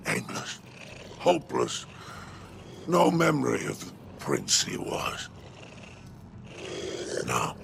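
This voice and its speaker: An actor playing a sly, menacing alien crime baron in a game cutscene, with a gravelly, mocking, theatrical delivery. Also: male voice, very deep voice, noisy background, full character voice. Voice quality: gravelly, creaking growl. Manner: drawn-out, sardonic, dropping to breathy asides. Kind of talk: dramatic video game cutscene acting.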